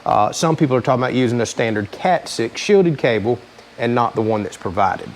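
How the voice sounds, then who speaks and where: A man's voice: medium pitch, lively intonation, brisk, slight background noise. A man hosting a casual hands-on DIY tutorial, talking in a plain-spoken, explanatory way. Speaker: host of a DIY vehicle channel.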